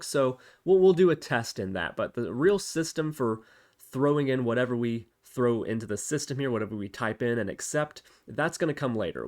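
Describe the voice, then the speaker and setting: Man narrating a tutorial with lively, varied pitch. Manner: explanatory, conversational, thinking aloud. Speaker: tutorial host. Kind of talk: casual step-by-step software tutorial narration. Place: indoors at a computer, voice-over for screen recording.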